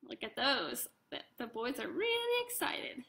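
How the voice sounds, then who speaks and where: A woman reading her own picture book aloud to children in an expressive way, with casual chatty asides. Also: a little high, unhurried, creaky, slight background noise. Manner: expressive, addresses young listeners directly; casual, informal commentary between passages.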